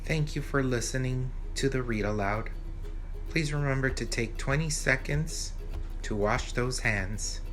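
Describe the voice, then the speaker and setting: A man's voice: mid-range voice, a little slow, fairly bright tone. A man reading a children's story aloud as a teacher would, with music behind him.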